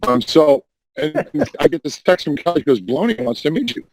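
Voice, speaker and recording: A hoarse man rambling defensively in casual voice chat, restarting and repeating himself. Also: on the high side, wildly swooping pitch, fairly quick, crowd noise, poor audio. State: hoarse, says voice is damaged. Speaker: Discord voice chat caller.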